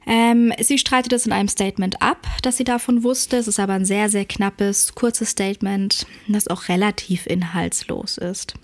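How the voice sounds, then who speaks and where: Hoarse woman with a cold, podcasting. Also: medium pitch, fairly even intonation, normal speed, few pauses. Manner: earnest, with frequent fillers and self-corrections. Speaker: podcast host and dog trainer. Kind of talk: casual podcast monologue. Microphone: podcast microphone.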